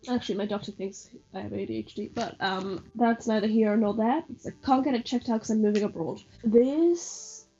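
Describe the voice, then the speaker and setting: A woman's voice: mid-range voice, a little fast, hardly any pauses, warm tone, slightly rough, dull audio, soft background music. A young woman from northern England vlogging in a living room, rambling casually with lots of fillers and self-deprecating asides, a little stuffy from hay fever. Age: young adult. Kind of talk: casual chatty vlog.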